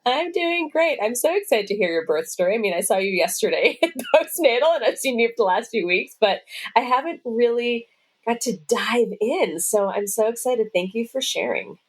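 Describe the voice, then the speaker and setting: A woman's voice: fairly high pitch, lively intonation, normal speed, breathy edge. A woman from the Boston area, with no regional accent, hosting a podcast interview and encouraging her guest with enthusiasm. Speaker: podcast host. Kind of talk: casual interview.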